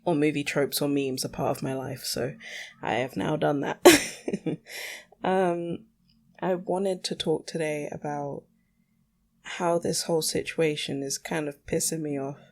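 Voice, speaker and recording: A woman's voice: low-pitched, unhurried, darkish tone. A young adult woman hosting a solo podcast in a casual, candid, slightly exasperated rambling style. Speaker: podcast host.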